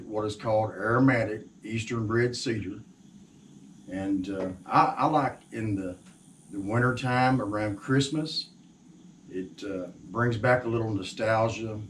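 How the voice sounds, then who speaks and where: A man in his sixties chatting to camera in a halting, folksy, reflective way, recorded in an open-sided shed outdoors. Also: on the low side. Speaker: woodworker and sawmill owner hosting. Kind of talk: casual instructional chat to camera. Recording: distant mic with faint outdoor noise.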